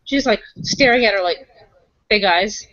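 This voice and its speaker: A woman's voice: medium pitch, measured pace, a bit bright. A woman playing a tabletop roleplaying game, talking casually in character and in asides. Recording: dry but dull, lo-fi microphone.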